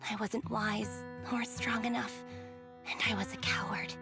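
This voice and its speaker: A sad young soldier girl in a game, quiet measured halting talk, guilty ex captain, music playing behind. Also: fairly high pitch, flat delivery. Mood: remorseful. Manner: soft, measured, halting, subdued. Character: remorseful young woman soldier, a former squad captain, quietly sorrowful. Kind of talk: dramatic video game voice acting.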